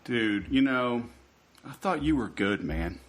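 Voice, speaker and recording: A man's voice: mid-range voice, animated, slow. Joking laid-back male podcast host. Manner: joking, laid-back, says "dude" and "man". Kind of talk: casual interview chat.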